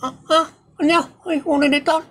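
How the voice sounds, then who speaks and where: A woman plays a chatty village neighbor with an Ilocano accent in a comedy audio drama. The voice is high, with background ambience around it. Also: steady tone, fairly quick, background noise, lightly acted. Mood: curious.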